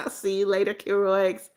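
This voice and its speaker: A woman's voice: medium pitch, completely flat delivery, slow pace, a bit bright. A woman in her seventies hosting a casual livestream. She reads viewer comments aloud and answers them with frequent ums and restarts, and she often repeats her phrases. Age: 70s. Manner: calls herself Mommy Beth and repeats phrases. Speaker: livestream host. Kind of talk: casual live-stream chat.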